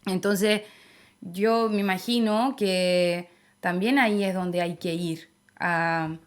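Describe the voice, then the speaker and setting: A woman's voice: lowish voice, fairly even intonation, slow pace. A young adult woman with a Chilean accent, giving reflective opinions in a conversational interview. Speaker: interview guest, political activist.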